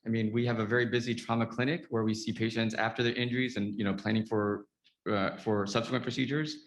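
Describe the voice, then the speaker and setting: A man speaking as a trauma surgeon at a summit, in an earnest, halting way with many fillers and restarts. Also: mid-range voice, a bit bright, reverberant, rough recording. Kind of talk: spoken talk at a summit.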